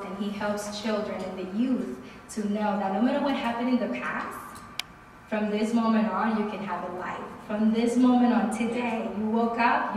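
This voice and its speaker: Lively woman speaker, roomy echo from far off, some hiss. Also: medium pitch. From Dominican Republic. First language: Spanish. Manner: lively, upbeat, faith-filled. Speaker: guest speaker. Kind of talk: motivational talk. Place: hotel meeting room. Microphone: podium mic on a stand, recorded by a far camera. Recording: echoey room from far off, noisy.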